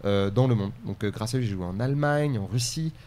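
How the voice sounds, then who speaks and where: A man from France, a stand-up comedian, chatting fast and enthusiastically as a podcast guest with many fillers. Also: on the low side, darkish tone, slight background noise. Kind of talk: casual podcast chat.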